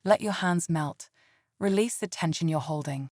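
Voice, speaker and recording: A woman leading a scripted guided meditation in a calm, soothing and gentle way. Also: low-pitched, normal speed, no room sound, studio quality.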